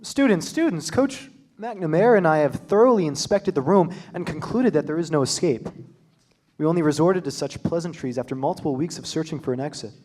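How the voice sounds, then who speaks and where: Young man as a formal pompous dean, a bit high, stage reading. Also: expressive, brisk, dark tone. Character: pompous middle-aged male school dean.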